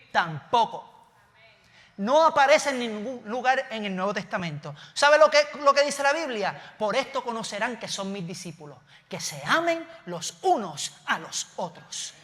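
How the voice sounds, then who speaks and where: A man's voice: very high voice, sharp tone, in a large hall. A man from Puerto Rico with a Puerto Rican Spanish accent, preaching a conversational sermon from a church stage, with asides and self-corrections. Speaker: pastor.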